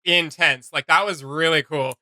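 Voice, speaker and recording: A man hosting a casual reaction chat, talking in an animated way with quick quips. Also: fairly high pitch, no room sound. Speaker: host.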